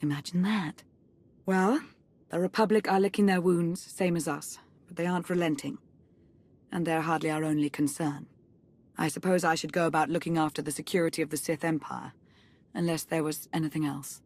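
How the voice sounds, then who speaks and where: A proud, dutiful Sith spymaster in a video game cutscene. Her voice sits fairly low for a woman, and she speaks in a measured, formal, earnest way, composed even when she blames herself. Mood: sober resolve. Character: composed, dutiful Sith intelligence chief, proud but self-reproachful woman.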